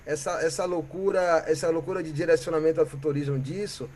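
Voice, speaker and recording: A Brazilian man from Bahia, a musician guest, telling stories in a reflective, animated way and often ending with "né?" or "sabe?". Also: fairly high pitch, brisk. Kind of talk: reflective conversational storytelling.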